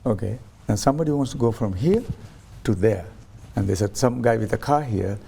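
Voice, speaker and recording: A man's voice: lowish voice, animated, fairly quick, creaky, dark tone, slight background noise. A man interviewed as a guest on a talk show, explaining his ideas through stories and acting out quoted voices, with frequent restarts. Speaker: guest, science ambassador.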